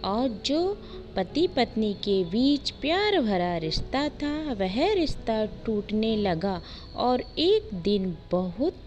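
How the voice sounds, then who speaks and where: A woman reads a simple moral tale as a storyteller. Her delivery is unhurried and broken into short, deliberate phrases, with an animated rise and fall in pitch. Soft music plays behind her. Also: dull audio. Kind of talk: scripted moral story narration.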